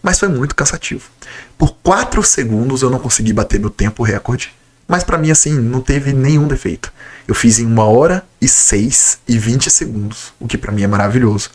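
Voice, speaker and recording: A man's voice: mid-range voice, animated, moderate pace. A young Brazilian man, a nutritionist, chatting casually to his viewers in a vlog. He sounds slightly nasal from a cold and talks with enthusiasm. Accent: Brazilian Portuguese. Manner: conversational, enthusiastic, direct address to viewers. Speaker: nutritionist vlogger. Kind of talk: casual talking-to-camera vlog.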